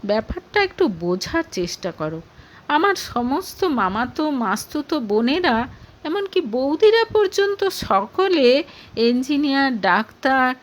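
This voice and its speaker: A woman's voice: high-pitched, very animated, measured pace. A woman narrator playing a reproachful young man who defends his mother and justifies himself, in an audio story. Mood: pleading.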